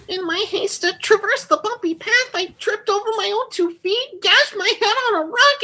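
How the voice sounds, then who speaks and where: A tiny, puffy shame spirit speaks in an extremely high, piercingly bright voice. It is tearful and self-pitying, with florid, old-fashioned melodrama suited to comic radio theatre. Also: female voice, full character voice, poor audio. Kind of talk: comedy radio theatre acting.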